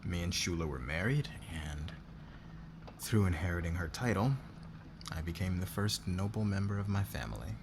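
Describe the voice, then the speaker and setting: A man plays a low-voiced nobleman boss in an intimate audio roleplay. He is demanding and guarded, worn down by debt, and talks in halting phrases with sighs. There is clear noise behind the voice. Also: animated. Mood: weary, confiding. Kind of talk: intimate ASMR roleplay acting.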